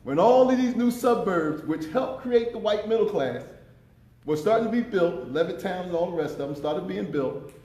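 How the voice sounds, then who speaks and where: Man, fifties, deep voice, impassioned panel talk, echoey conference room. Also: lively intonation, moderate pace, dark tone. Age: 50s. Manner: impassioned, emphatic, repeats words when pressing a point.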